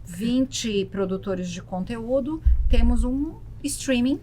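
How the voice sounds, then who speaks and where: A woman's voice: a little high, animated, slow pace, mellow, slight background noise. A Brazilian woman explaining things casually as a podcast guest, repeating words for emphasis. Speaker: podcast guest, travel content creator.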